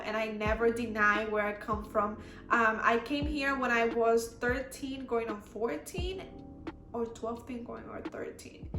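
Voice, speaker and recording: A young Dominican woman with a Spanish-accented English voice, bright and a little high, rambling along with lots of fillers. She sounds like she is in an echoey room. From Dominican Republic. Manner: rambling, many fillers like "like" and "you know". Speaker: YouTuber. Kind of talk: casual chat to camera.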